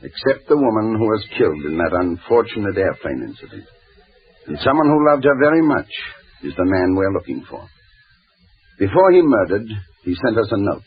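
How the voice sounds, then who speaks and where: An actor playing a grave, intense Scotland Yard inspector, terse and portentous, in 1940s radio crime drama style. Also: male voice, on the low side, big pitch swings, normal speed, breathy edge, full character voice. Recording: tinny vintage radio broadcast.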